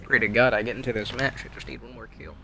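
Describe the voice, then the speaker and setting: A man's voice: on the low side, animated, fast, bright, forceful tone, a little hiss or hum, poor audio. A young man chatting casually over his own gameplay, rambling and wry, poking fun at himself and at other players. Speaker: game streamer.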